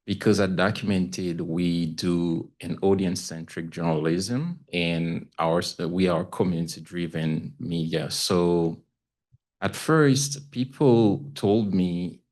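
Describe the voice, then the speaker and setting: A man with a Haitian accent speaking as a panelist about his own experience, haltingly and searching for words. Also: low-pitched, darkish tone. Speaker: panelist, community news correspondent. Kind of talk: panel talk, personal account.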